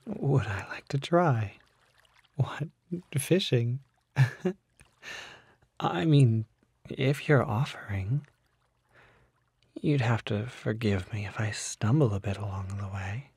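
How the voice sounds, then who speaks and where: Casting: man to play a seductive kelpie for ASMR roleplay, very husky half-whisper, mellow, coaxing and sly. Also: medium pitch, takes it slowly, long pauses, no room sound, heavily acted. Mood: flattering, eager. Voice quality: soft, breathy, often whispered. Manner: coaxing, flattering, falsely modest, teasing. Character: charming, unsettling male kelpie, smooth-talking seductive lurer. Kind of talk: ASMR roleplay, intimate one-sided acted dialogue. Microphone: close microphone.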